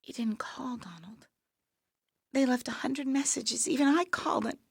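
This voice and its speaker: An actress in an audio play playing a dying younger sister, weary, wry and resigned, with a frail, halting, breathy delivery that drops to whispers. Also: female voice, high-pitched, dry recording, clean studio sound, exaggerated voice. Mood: quietly reproachful. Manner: frail, halting, wryly understated. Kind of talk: intimate audio play acting.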